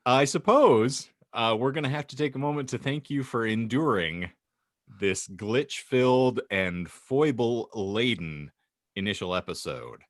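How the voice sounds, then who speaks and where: A man's voice: medium pitch, expressive, unhurried, dry recording, clean studio sound. A man hosting a comedy podcast, reading and bantering in a theatrical, mock-grandiose way with florid wording. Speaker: podcast host and fan fiction reader.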